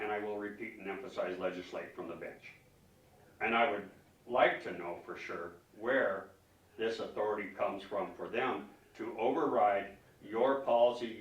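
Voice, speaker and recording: A man speaking as a concerned citizen at a public meeting, arguing emphatically and haltingly, often repeating words as he questions officials. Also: fairly low pitch, highs cut off. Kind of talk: public comment, argumentative questioning. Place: public meeting room, indoors. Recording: echoey room, distant microphone.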